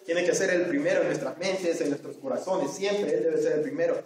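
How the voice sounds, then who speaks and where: A man's voice: fairly high pitch, a little fast, a crowd in the background. Salvadoran man preaching in echoey church. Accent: Salvadoran Spanish. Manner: earnest, repeats phrases, addresses listeners as brothers. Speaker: Sunday school teacher. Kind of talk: sermon. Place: church hall. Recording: very echoey hall, voice from far off.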